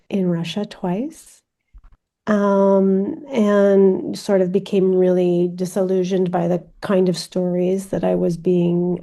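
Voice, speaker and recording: Woman, 40s, filmmaker guest; flat, dark, unhurried delivery. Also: lowish voice. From France. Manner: measured, reflective, frequent ums. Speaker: film director guest. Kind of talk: interview conversation.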